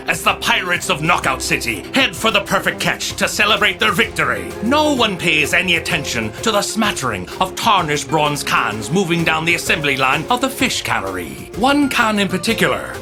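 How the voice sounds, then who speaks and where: An actor narrating a pirate adventure tale in a dramatic, expressive storybook style. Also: medium pitch, lightly acted. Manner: theatrical, expressive.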